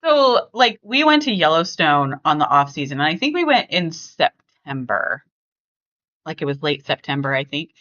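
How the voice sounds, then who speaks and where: A woman's voice: deep voice, very animated, moderate pace, a bit bright, lo-fi sound. A middle-aged American woman hosting a podcast in a casual, chatty, rambling way. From US West Coast. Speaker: podcast host.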